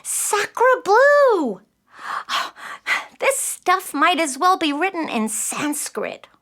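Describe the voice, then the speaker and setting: A woman's voice: very high voice, sing-song, very slow, a bit bright, heavily acted. A woman playing a frustrated, dreamy nine-year-old city girl in a children's audio play, dramatic and full of self-doubt. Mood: exasperated, despairing.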